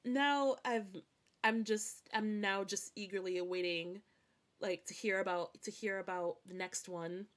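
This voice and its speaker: A woman's voice: mid-range voice, fairly even intonation, measured pace. A woman from Scotland with a mixed American and British Scottish accent, chatting casually on a gaming livestream in a rambling, hesitant way that often circles back to repeat phrases. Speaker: streamer.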